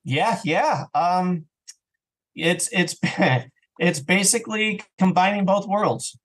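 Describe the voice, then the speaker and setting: A man's voice: high voice, takes it slowly, a bit bright. A man speaking as a podcast guest, explaining things casually and enthusiastically, with stammered repetitions. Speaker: podcast guest, audio drama creator. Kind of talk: casual, explanatory interview chat.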